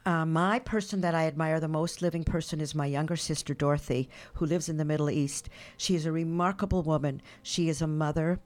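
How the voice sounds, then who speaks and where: An older woman being interviewed on a radio show, speaking in a calm, measured way. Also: sits low. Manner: calm, measured, warm-hearted. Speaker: guest.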